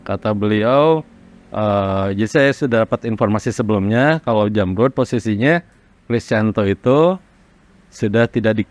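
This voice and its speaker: A man from Indonesia, a rock singer, casually recalling his career in an interview in a halting, reflective way. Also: on the low side. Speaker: rock vocalist being interviewed. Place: studio workroom.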